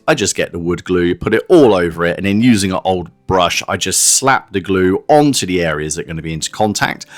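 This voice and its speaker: A man's voice: lowish voice, no echo. A man presenting a hobby kit review in a casual, chatty, informal way with a self-deprecating streak. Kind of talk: casual hands-on product review narration.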